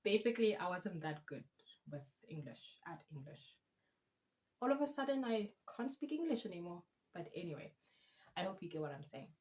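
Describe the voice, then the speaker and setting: A woman in her thirties, Afrikaans her first language, chatting to camera about her life. She has a fairly low voice and talks in a loose, self-mocking way, full of animated asides. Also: brisk. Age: 30s. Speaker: vlogger and student teacher. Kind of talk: casual chat to camera.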